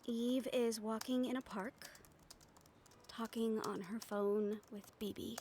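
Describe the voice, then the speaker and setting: A woman's voice: fairly high pitch, fairly even intonation, takes it slowly, darkish tone, slight background noise. A woman giving scripted story narration for an audio roleplay, as its storyteller. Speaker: storyteller and voice performer.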